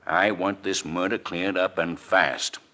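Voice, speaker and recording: Deep, insistent city official. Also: male voice, steady tone, a little slow, bright, forceful tone. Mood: urgent, insistent. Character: earnest, insistent male city official under pressure. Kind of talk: 1940s radio acting.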